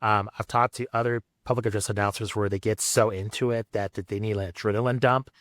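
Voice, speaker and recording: A man's voice: on the low side, steady tone, quick pace, fairly bright tone, no echo. A man hosting a podcast, asking questions in a casual interview style.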